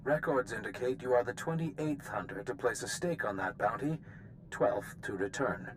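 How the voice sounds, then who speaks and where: An actor playing a composed, wry official of a bounty hunt in a video game, measured and businesslike. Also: male voice, medium pitch, slight background noise, lightly acted, rough recording.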